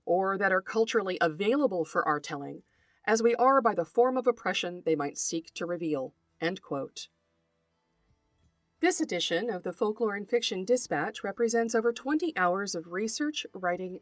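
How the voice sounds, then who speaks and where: A woman reads scripted educational material as a podcast host. Her delivery is articulate and deliberate, like a prepared lecture, with a medium pitch that moves expressively. The sound is dry, with no audible room. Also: dry recording. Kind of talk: scripted educational narration read aloud.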